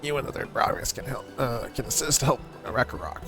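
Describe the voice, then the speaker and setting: A man plays a small, folksy goblin villager in a fantasy game, with a gravelly creak in the voice. The sentences come out garbled and oddly worded, and music plays clearly behind. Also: medium pitch, expressive, fairly quick. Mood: earnest, businesslike. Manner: garbled, oddly phrased speech with doubled words. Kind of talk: reading game dialogue aloud in character.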